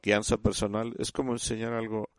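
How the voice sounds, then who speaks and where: A man giving a sermon in halting speech, often restarting and repeating words as he teaches the congregation. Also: fairly low pitch, level tone, no room sound. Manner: addresses listeners as "usted". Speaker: church speaker.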